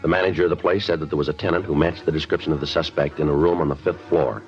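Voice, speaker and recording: Hard-nosed American cop, low-voiced and brisk, deadpan delivery, muffled old radio drama sound. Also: male voice, faint music behind. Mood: flat, businesslike. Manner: clipped, deadpan, matter-of-fact. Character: terse no-nonsense male police detective and narrator. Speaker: actor. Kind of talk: 1950s radio crime drama narration and dialogue. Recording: muffled vintage 1950s radio recording.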